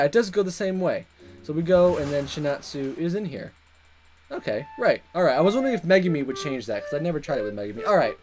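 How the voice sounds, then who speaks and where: Animated man doing playful let's play commentary. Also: fairly high pitch. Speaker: gaming video host. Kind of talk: casual let's play commentary and read-aloud narration.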